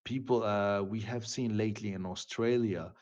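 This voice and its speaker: A man giving an online lecture in an earnest, halting way, with frequent restarts, over a video call. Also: fairly low pitch, fairly even intonation, darkish tone, lo-fi sound.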